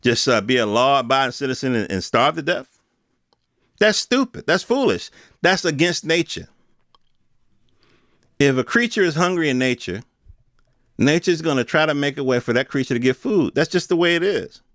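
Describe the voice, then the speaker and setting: A man's voice: medium pitch, lively intonation, normal speed, pauses often, fairly bright tone, no room sound. American man ranting in a very dry recording. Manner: emphatic, repetitive, colloquial rhetorical ranting. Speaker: podcast host. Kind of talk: unscripted opinion commentary on the news.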